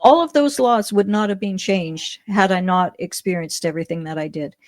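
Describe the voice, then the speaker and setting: A middle-aged Canadian woman telling her story as an interview guest, animated, often laughing, with many restarts. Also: medium pitch, normal speed, no echo, studio quality. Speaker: podcast guest.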